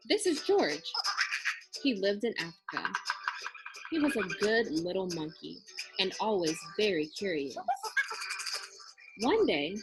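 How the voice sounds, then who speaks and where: A young adult woman reading a children's storybook aloud in an expressive, storytelling way. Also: mid-range voice, poor audio. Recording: music behind the voice. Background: music.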